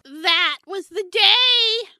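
A woman's voice: extremely high pitch, expressive, sharp tone, no room sound, full character voice. A woman playing a menacing, spiteful old witch in a fairy-tale audio drama, domineering and theatrical. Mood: sly. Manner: theatrical, drawn-out, domineering delivery.

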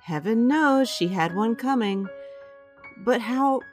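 A well-bred wife with a guilty secret in a radio play. She speaks slowly and deliberately, with an animated, wry, confiding melody, as music plays underneath. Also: a little high. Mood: sly, scheming. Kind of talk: radio drama acting with confessional narration.

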